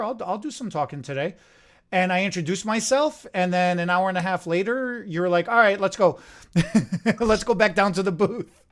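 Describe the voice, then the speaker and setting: High-voiced guy co-hosting, playful teasing, pitch bouncing everywhere. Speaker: co-host. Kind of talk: casual chat.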